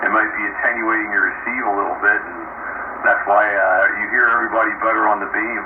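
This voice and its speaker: A man's voice: on the low side, steady tone, fast talker. A man chatting casually and technically with fellow operators over two-way radio. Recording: narrow tinny radio transmission, loud static.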